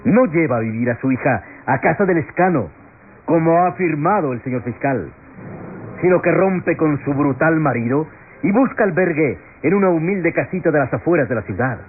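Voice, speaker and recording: An actor playing an impassioned defense lawyer in a classic Latin American radionovela, declaiming solemnly with rhetorical pauses. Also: male voice, fairly high pitch, lively intonation, slight background noise, lightly acted. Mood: grave, pleading. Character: impassioned male defense lawyer pleading in court. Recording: tinny vintage radio drama, music behind.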